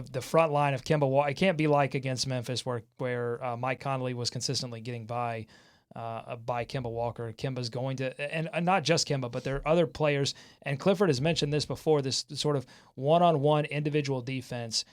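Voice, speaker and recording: A man hosting a sports talk show in an excitable, jokey way. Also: mid-range voice, clear, resonant tone. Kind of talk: lively sports talk with co-hosts.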